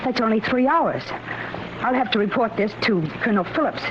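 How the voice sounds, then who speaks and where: An actress playing a brisk, formal female army lieutenant in a 1950s radio drama. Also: on the high side, animated, lightly acted. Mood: softening, formal. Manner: crisp, formal, official. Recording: echoey, muffled, noisy old radio recording.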